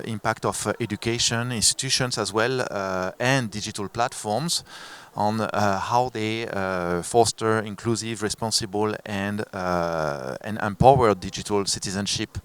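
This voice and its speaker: A man moderating a conference panel, talking haltingly with many fillers and restarts. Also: on the low side, clean tone.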